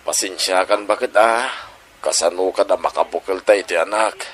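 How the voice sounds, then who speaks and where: A man playing a gentle, devoted father in an audio drama, with an Ilocano accent. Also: low voice, normal speed, a little hiss or hum, natural voice. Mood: apologetic, caring.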